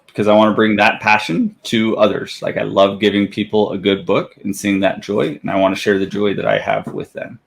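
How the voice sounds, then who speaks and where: A man talking calmly as a guest in a casual interview, in a fairly flat way, with many "like"s. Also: lowish voice, fairly quick, creaky, hardly any pauses. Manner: calm, says he has a monotone voice, lots of "like". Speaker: guest, book reviewer. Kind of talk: casual chat.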